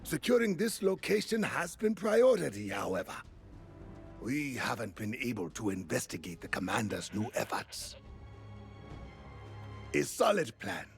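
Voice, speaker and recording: A man playing an earnest military strategist in a fantasy video game, speaking in a formal, deliberate way. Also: medium pitch, sing-song, creaky, faint music behind. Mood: commanding. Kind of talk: scripted video game dialogue.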